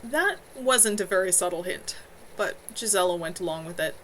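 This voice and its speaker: A woman's voice: fairly low pitch, lively intonation, moderate pace, fairly bright tone. Woman reading fiction, noticeable background noise. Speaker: author reading own novel on a livestream. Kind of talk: narrated fiction reading, amateur. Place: at home. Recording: noisy home livestream audio.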